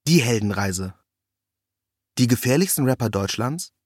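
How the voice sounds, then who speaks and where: A man's voice: mid-range voice, animated, a little slow, darkish tone, breathy edge, dry recording, clean studio sound. A man reading a scripted first-person story in a wry, ironic manner. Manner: wry, ironic delivery with acted quotes. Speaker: narrator.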